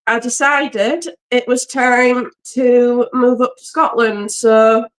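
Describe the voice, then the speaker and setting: A woman from England, a guest on a live stream, chatting warmly and laughing often over a video call from home. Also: fairly high pitch, steady tone, takes it slowly, low-quality recording. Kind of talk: casual live-stream chat. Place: home by the sea.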